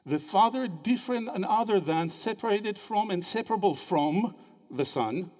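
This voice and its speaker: A man from Greece giving a lively, interactive theology lecture and quizzing his audience with quick questions. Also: high-pitched. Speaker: theology speaker at a symposium. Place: lecture hall. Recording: reverberant hall.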